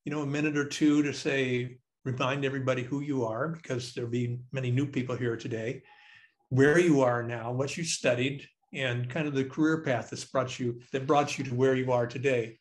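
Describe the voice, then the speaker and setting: An older man leads an online panel from a study at home. He talks in a loose, easygoing way, with small laughs between his remarks. His pitch sits in the middle range. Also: fairly even intonation, normal speed. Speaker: panel moderator. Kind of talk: webinar moderating. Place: home study, on a video call. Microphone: video call.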